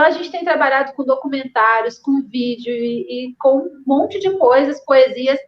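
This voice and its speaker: A woman from Minas Gerais with a Minas Gerais accent, a physical education lecturer talking animatedly to the audience on an online panel. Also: sits high. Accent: Minas Gerais Portuguese. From Minas Gerais, Brazil. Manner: animated, addresses the audience directly. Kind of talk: casual online panel discussion. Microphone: video call. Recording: lo-fi video call audio.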